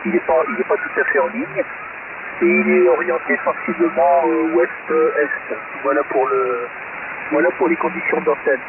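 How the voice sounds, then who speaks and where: Through a narrow, heavily static-laden shortwave link comes a man talking as a radio amateur. His voice is gravelly, and he hesitates as he thinks aloud in a casual technical exchange. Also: on the high side, wildly swooping pitch, normal speed. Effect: amateur shortwave radio transmission. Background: loud radio static.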